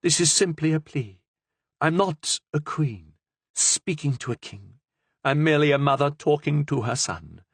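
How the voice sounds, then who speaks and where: A man's voice: a little high, expressive, measured pace, breathy edge, dry recording, studio quality, voice pushed up, full character voice. A man voicing an older queen mother in an audiobook, pleading earnestly and formally with her son. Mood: imploring. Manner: imploring, formal speech.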